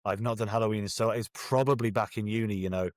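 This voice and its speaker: A man's voice: on the low side, steady tone, fast, no echo, studio quality. A man with a British accent, speaking as a guest author in a casual interview, jokey, self-deprecating and rambling a little.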